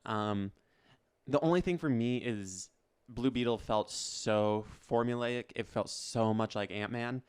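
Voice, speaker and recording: A man's voice: mid-range voice, unhurried, smooth. A man co-hosting a casual movie podcast, talking eagerly with many "like" fillers. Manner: enthusiastic, lots of "like" fillers. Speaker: podcast co-host. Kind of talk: casual chat.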